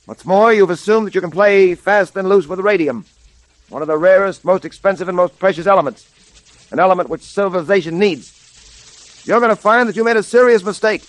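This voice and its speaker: An actor playing a stern, commanding detective hero with firm, formal delivery in a 1930s radio drama. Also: male voice, high voice, fairly quick, dry recording, natural voice, rough recording. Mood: stern warning. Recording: vintage 1930s radio recording.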